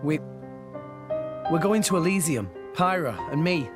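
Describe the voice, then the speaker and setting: A man's voice: on the high side, lively intonation, a little slow. A man playing an earnest, hopeful teenage boy adventurer in a video game, sincere and plain-spoken. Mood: solemn, tender. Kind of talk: video game cutscene acting. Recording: music behind the voice. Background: loud instrumental music with piano.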